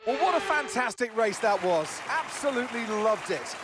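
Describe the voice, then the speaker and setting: A man's voice: extremely high pitch, sing-song, moderate pace, over music. An actor playing an enthusiastic male motor racing commentator in a TV broadcast style for a video game. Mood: upbeat, enthusiastic. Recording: noisy outdoor trackside with crowd and engines.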